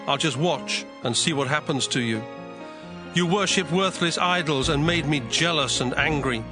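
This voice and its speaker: A wrathful almighty God acted by a man declaims judgement in a dramatized Bible. The voice is slightly high, solemn and commanding, with music clearly behind it.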